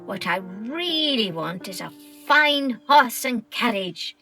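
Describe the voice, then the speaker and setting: A woman's voice: on the high side, lively intonation, soft background music, pitched above the performer's own voice, exaggerated voice. A woman voicing a haughty, demanding male magpie in a children's story, with a screechy, imperious, complaining delivery. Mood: haughty, dissatisfied. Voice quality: bright, sharp, screechy. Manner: imperious, fussy, drawn-out complaining.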